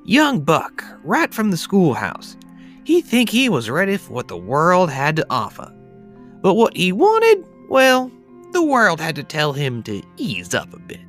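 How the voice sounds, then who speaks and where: A man playing a folksy, rustic yarn-spinner who tells a dark tale in a drawling, colloquial, theatrical way. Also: high-pitched, wildly swooping pitch, unhurried, faint music behind, heavily acted. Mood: wry, folksy. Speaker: podcast host reading a tale. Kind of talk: theatrical dramatic storytelling.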